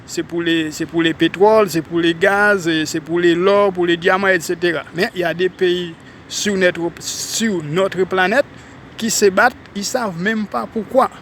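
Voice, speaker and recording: A man from Haiti with a Haitian French accent, talking casually to viewers while walking outdoors on a city street and addressing them as ladies and gentlemen. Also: high-pitched, steady tone, normal speed, slight background noise. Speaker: vlogger. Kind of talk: casual walking vlog monologue.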